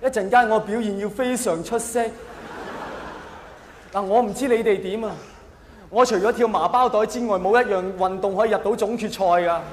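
A man with a Hong Kong accent performing a self-mocking, mock-earnest stand-up comedy monologue in an animated way. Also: sits high, normal speed. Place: large live venue with long reverb. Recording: echoey hall, faint noise.

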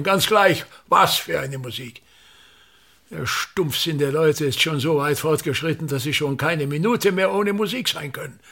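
A man's voice: fairly high pitch, gravelly, a bit bright, breathy edge, heavily acted. An older actor in a radio play, playing a pompous, embittered old touring stage actor and tyrannical father who declaims and complains theatrically. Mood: imperious, weary.

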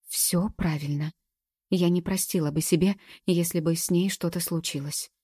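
Woman voicing a fierce young storybook witch, forceful, dry close sound. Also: lowish voice, steady tone, normal speed, slightly rough, dry recording. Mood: insistent. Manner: forceful, indignant.

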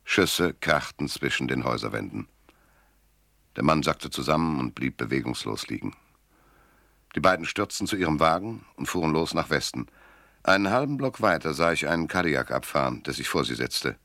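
A hard-boiled, cynical private eye in a noir radio drama. His voice sits extremely low and moves freely in pitch, close and dry in the studio. He speaks in a terse, weary manner. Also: animated, moderate pace, vocal fry, pushed and bright, no echo, exaggerated voice. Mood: cool, detached. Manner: laconic, dry, world-weary. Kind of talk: first-person noir narration and dialogue in radio drama.

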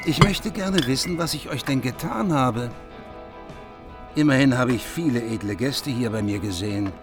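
A man's voice: mid-range voice, lively intonation, moderate pace, loud music behind the voice, lightly acted. A man acting a gracious, dignified king in a German radio play. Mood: formal welcome. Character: courtly king, gracious, dignified host to guests.

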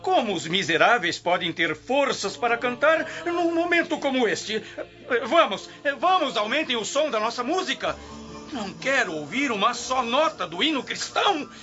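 A cruel Roman prefect with a very high, imperious voice. He hands down orders with morbid contempt in an audio drama. The sound is dull, with loud music underneath. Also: expressive, measured pace, heavily acted. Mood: scornful impatience.

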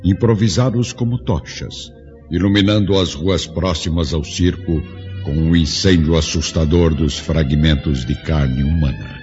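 Very deep, dark-voiced solemn male narrator. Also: a little slow. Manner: solemn, literary. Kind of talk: dramatic scripted radio drama narration. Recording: dull lo-fi radio drama, music behind.

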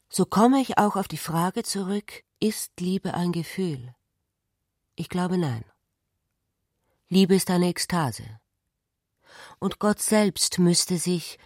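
A speaker reading a radio drama passage in a soft, partly whispered way. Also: medium pitch, no room sound, studio quality.

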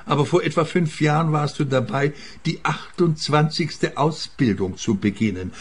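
A man's voice: on the high side, moderate pace, breathy edge. An actor playing an older, self-satisfied father in a radio play, defensive and dismissive as he talks. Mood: defensive, sardonic. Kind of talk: radio comedy-crime acting.

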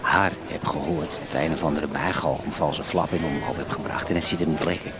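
An actor playing a sly, knowing crook in a radio drama. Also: male voice, a bass voice, fairly quick. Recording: loud street noise.

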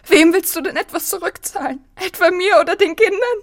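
An actress playing a bitter, wounded, possessive farm wife in a literary radio drama, with intense delivery. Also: female voice, very high voice, fairly quick. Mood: agitated, pleading.

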